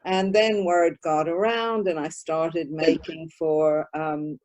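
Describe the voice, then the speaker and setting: A woman's voice: deep voice, steady tone, slow, a little mellow. An older woman from northern England who grew up in California, chatting casually as an interview guest from her craft room at home. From born in northern England, raised in California. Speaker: guest, volunteer craft maker. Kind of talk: casual interview chat, recounting. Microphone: video call.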